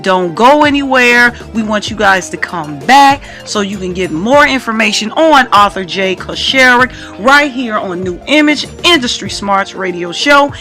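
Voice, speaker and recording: A woman's voice: mid-range voice, lively intonation, measured pace, few pauses, bright, forceful tone, soft background music. A woman hosting a radio interview in an informal, chatty, colloquial way. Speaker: radio show host.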